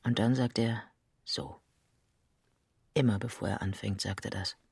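Husky, hushed male storyteller. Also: on the low side, steady tone, a little slow, no echo, clean studio sound, full character voice. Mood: hushed, measured. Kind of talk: radio play narration.

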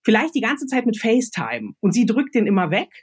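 A woman's voice: on the high side, big pitch swings, brisk, slightly rough. A woman with a German accent thinks aloud as a radio play author, giving casual creative advice with examples.

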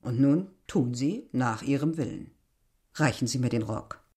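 A woman's voice: rumbling low, animated, unhurried, dark tone, breathy edge, heavily acted. An actress playing a proud, domineering village landlady in a literary radio drama, speaking in a formal, condescending way. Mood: commanding.

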